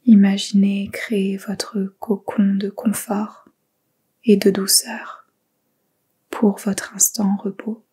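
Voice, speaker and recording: A woman guiding a yoga nidra meditation in a soft whisper, giving slow, calm instructions. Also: medium pitch, breathy edge. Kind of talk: guided meditation.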